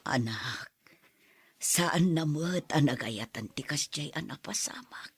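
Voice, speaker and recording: A woman playing a gentle, worried mother in an audio drama, consoling in a hushed, pleading way. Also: low voice, expressive, slow, breathy edge, dry recording, heavily acted. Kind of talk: soft audio drama acting.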